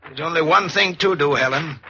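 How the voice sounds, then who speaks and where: An actor playing a scheming, impatient husband in a 1940s radio mystery, speaking in an insistent, bossy way. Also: male voice, on the high side, fairly quick, sharp tone, a little hiss or hum. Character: scheming, impatient husband faking his death for insurance money. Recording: muffled vintage 1940s radio recording.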